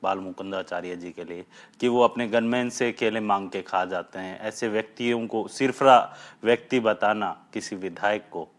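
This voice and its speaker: A male field reporter with a fairly low voice speaks in on-location news style, framing questions and summaries in long running sentences. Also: moderate pace.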